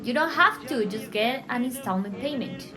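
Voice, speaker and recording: High-voiced woman as eager 1920s radio co-host. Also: lively intonation, moderate pace, over loud music, natural voice. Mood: salesy. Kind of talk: scripted 1920s-style radio show.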